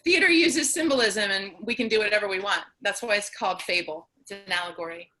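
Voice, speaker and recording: Woman writer chatting about her play, bright clear-cut voice, mid pitch. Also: brisk, rough recording. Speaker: playwright and performer. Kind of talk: casual explanation of own play.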